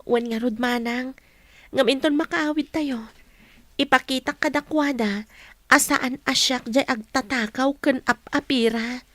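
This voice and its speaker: Woman doing sorry young ilocano girl in radio drama, super high voice, wants to make peace. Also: a little slow, a bit bright, no echo. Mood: hopeful, earnest. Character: repentant young village woman seeking reconciliation.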